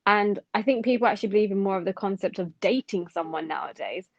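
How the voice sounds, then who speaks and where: Young woman, podcast co-host, quick talker, reflective, dull dry recording. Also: medium pitch, no echo. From London. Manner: reflective, thinks aloud with fillers. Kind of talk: casual podcast chat.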